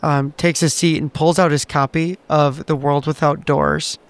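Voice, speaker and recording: A man's voice: a little high, flat delivery, normal speed, clear, resonant tone. A man playing a tabletop game, casually improvising and describing his character's actions, somewhat hesitant and repeating words.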